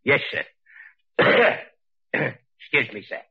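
An actor playing a humble, talkative British pub proprietor giving deferential testimony in a 1940s radio drama. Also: male voice, medium pitch, big pitch swings, drawn-out words, slightly rough, heavily acted. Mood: nervous, eager. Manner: deferential, rambling, folksy. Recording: muffled vintage radio recording.

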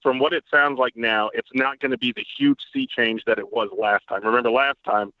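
Fast-talking man, dry lo-fi sound. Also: mid-range voice, fairly even intonation, no echo. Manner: fast, detailed analytical explaining. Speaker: guest football writer. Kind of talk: casual podcast chat.

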